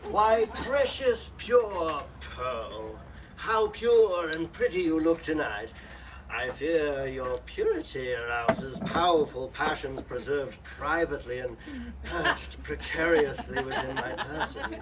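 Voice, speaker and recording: A man's voice: fairly high pitch, lively intonation, slow, a bit bright. A man acting a sly, pompous villain in hammy radio melodrama, savoring alliteration and taunting all who hear him. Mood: oily, lustful wooing. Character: sly gloating melodrama villain, male landlord of a tavern. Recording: distant stage microphone, noisy room.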